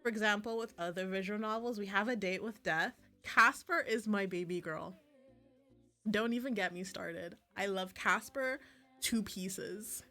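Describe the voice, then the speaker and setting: A woman is chatting on a casual game stream with music playing faintly behind her. Her voice sits at an average pitch for a woman. She rambles and gushes, breaking off her own sentences and starting new thoughts as she goes. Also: unhurried, pushed and bright. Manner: rambling, gushing, self-interrupting. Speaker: livestreamer playing visual novels. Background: background music.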